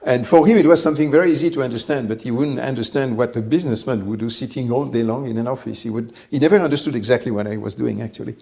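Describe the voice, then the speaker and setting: A man, telling his life story in an interview, measured and reflective, often pausing to find his words. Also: medium pitch, vocal fry, a little mellow. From France. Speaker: company head, interview guest.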